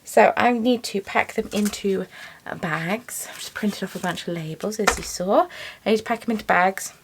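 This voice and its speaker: A woman's voice: medium pitch, normal speed, background noise. A young woman talking through her craft work in a rambling, self-deprecating, chatty way. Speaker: yarn dyer and small business owner. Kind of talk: casual chatty vlog voice-over. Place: home craft studio.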